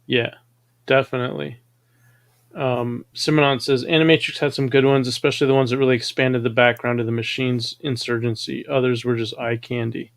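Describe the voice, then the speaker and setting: Man hosting a relaxed livestream chat. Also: medium pitch, brisk, creaky. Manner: relaxed, reads comments aloud in a conversational way. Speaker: show host reading viewer comments.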